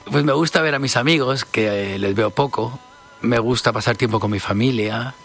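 Gravelly-voiced man in his fifties. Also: mid-range voice, normal speed, fairly bright tone, soft background music. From Spain. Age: 50s. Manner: hesitant, repeats words often. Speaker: singer, interview guest. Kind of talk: casual interview talk.

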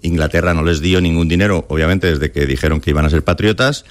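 A man's voice: sits low, quick pace. A man from Spain with a Castilian accent, telling stories colloquially as an interview guest, slipping into imagined quotes. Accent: Castilian Spanish. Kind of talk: conversational storytelling in an interview.

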